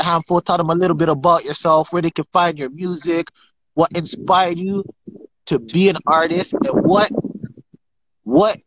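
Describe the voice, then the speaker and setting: A young man hosting a casual livestream from home on his phone, giving shout-outs and asking guests questions. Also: a little high, a little hiss or hum. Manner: hype shout-outs, filler phrases. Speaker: livestream host. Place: indoors at home. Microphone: phone at arm's length. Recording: dull lo-fi livestream audio.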